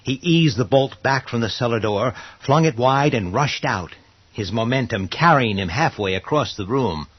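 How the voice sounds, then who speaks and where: Husky guy reading scary story, theatrical pauses, dry muffled sound. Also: medium pitch, animated, no room sound. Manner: theatrical, deliberate dramatic pauses. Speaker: narrator. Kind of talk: dramatic scripted horror narration.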